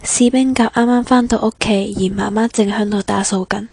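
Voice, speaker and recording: A woman with a Cantonese accent giving calm scripted narration in a church skit. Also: on the high side, creaky, breathy edge, no echo. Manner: calm, measured reading.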